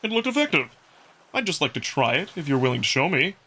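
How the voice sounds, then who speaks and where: A man's voice: fairly high pitch, big pitch swings, fast, sharp tone, breathy edge, pitched above the performer's own voice, full character voice. A man playing a playful, competitive young wolf prince in a visual novel, with a teasing, cheerful and self-assured manner. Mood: curious. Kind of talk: visual novel character acting.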